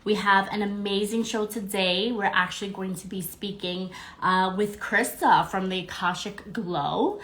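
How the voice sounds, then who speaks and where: A woman's voice: medium pitch, steady tone, measured pace, hardly any pauses, fairly bright tone. Bubbly congested woman hosting a live stream. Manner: bubbly, greets viewers by name, fillers. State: congested, slightly nasal. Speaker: podcast host. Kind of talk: casual live-stream chat.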